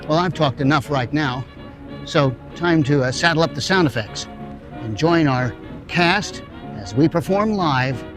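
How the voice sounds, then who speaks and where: A man's voice: on the high side, lively intonation, measured pace, music in the background. A man presenting a scripted, folksy introduction as the host of an audio drama show.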